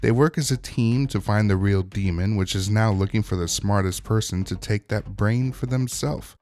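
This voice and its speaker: A man's voice: on the low side, normal speed, darkish tone. A man hosting a scripted recap and review of a TV episode, narrating in a wry, opinionated way. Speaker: host of a TV episode recap show.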